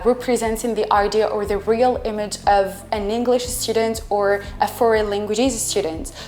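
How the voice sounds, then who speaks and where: A woman's voice: medium pitch, darkish tone. A twenty-one-year-old woman from Algeria, speaking English as an Arabic speaker, answering interview questions hesitantly and often searching for words. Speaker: English student and teacher. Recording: echoey room with faint music.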